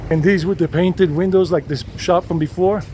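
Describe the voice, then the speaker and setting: A chatty middle-aged man narrates a walking tour, thinking out loud as he strolls. His voice sits a little high. Cars and street noise come through clearly around him. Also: fairly even intonation, moderate pace. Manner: chatty and rambling, switching into Spanish with locals. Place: city street outdoors. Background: traffic and street noise.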